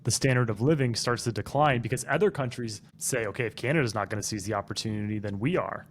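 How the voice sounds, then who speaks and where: A man's voice: lowish voice, quick pace, a little hiss or hum. A man co-hosting a casual podcast who gives opinions and explains things at length. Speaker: podcast co-host.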